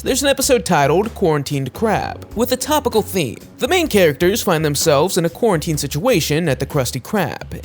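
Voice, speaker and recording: A man reading scripted explainer narration for an online video. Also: fairly high pitch.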